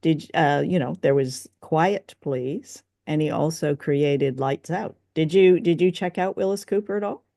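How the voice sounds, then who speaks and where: A woman's voice: deep voice, measured pace, few pauses, mellow. A woman chats as a co-host, feeling her way through her points conversationally. The sound is dry, with very little room echo, and her style is casual. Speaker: podcast co-host. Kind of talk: casual discussion.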